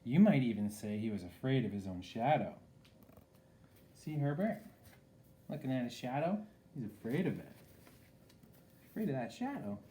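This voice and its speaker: A man's voice: medium pitch, big pitch swings, moderate pace, pauses often, warm tone, a little hiss or hum. A man reading a picture book aloud expressively, with casual asides to a young listener.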